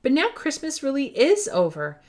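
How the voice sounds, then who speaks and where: A woman's voice: high voice, wildly swooping pitch, moderate pace, a little mellow, voice pushed up. A woman voicing a sulky, defiant young girl villain in a children's storybook, petulant and taunting. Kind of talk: children's storybook character reading.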